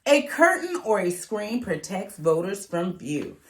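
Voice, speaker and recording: A woman reading a rhyming children's picture book aloud in an expressive, sing-song way. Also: medium pitch, a little slow. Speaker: read-aloud reader.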